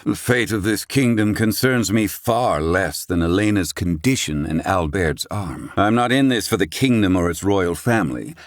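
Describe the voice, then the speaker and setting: A man acting a proud, gruff, middle-aged inventor in a fantasy video game, with formal, haughty, theatrical diction. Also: lowish voice, no room sound, clean studio sound, natural voice.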